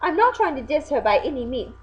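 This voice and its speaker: A young woman co-hosting a casual video, chatting excitably and often correcting herself. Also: sits high, brisk, a little hiss or hum. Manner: animated, self-correcting, excitable. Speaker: video co-host reviewing anime voice acting. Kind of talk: casual chat. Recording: dull lo-fi home recording.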